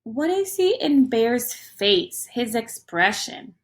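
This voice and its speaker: A woman reads a children's story in a highish voice with big swings of intonation. She takes it very slowly and in a teacherly way, checking in with her young listeners. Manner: expressive, teacherly, asks the listener questions. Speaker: storyteller reading a picture book to young children. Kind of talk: interactive children's read-aloud.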